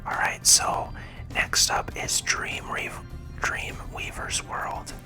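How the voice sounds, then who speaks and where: An ASMR gaming host whispering soothing, encouraging commentary to viewers in a breathy voice, close to the microphone. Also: slow pace, sharp tone, faint music behind.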